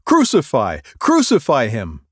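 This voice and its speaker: A man voicing an angry crowd of priests and officials in a Bible reading, shouting insistently. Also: a little high, very animated, normal speed, no room sound, clean studio sound, voice pushed up.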